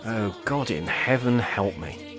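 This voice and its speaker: A man's voice: fairly low pitch, expressive, a little slow, over music. A man playing a wry, scheming older care home resident with an English accent and jokey, mock-grand phrasing in an amateur radio play. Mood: exasperated.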